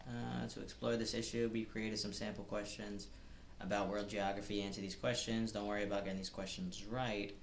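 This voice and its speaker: A man's voice: fairly low pitch, level tone, brisk, vocal fry, few pauses. A man streaming an online course, casually thinking aloud and second-guessing his quiz answers. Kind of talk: casual live-stream commentary. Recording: roomy with faint background noise.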